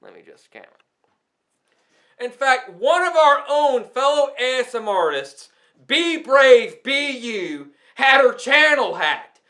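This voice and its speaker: A man's voice: very high voice, sing-song, slow, bright, forceful tone. A man who makes ASMR videos, delivering an indignant, emphatic rant that scolds and repeats phrases for effect. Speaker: ASMR content creator. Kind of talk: indignant rant addressed to the platform.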